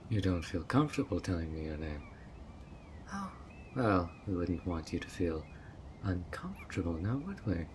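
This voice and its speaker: A man's voice: low voice, big pitch swings, moderate pace, background noise. A man voicing a sweet but obsessive male fairy who coaxes a guest in an audio roleplay, with a honeyed, playfully sly manner. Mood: coaxing, sly. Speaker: fairy host of a tea party.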